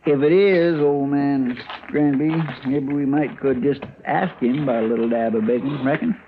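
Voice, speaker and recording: A man's voice: medium pitch, fairly even intonation, moderate pace, natural voice. A man puts on a homespun young cowhand with a country American drawl, deferential and a bit grumbling, in a radio western. The sound is thin and narrow, with faint vintage noise. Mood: curious. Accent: rural American drawl. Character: folksy, hungry, grumbling young frontier sidekick, eager and simple. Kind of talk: old-time radio western acting. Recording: tinny, noisy old radio recording.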